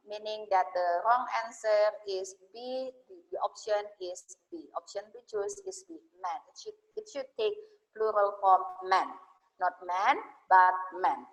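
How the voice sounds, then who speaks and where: A woman's voice: medium pitch, a bit bright, big-room sound, poor audio. A woman from Indonesia teaching English grammar in a webinar, explaining patiently with frequent fillers and self-corrections.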